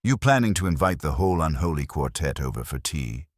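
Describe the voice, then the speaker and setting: A streetwise detective's assistant in an audio mystery, voiced by a man. He is blunt and protective, with a wisecracking, slangy turn of phrase. The voice is very deep and husky, with a lively swing. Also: brisk, no echo, studio quality, heavily acted. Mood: wry, blunt.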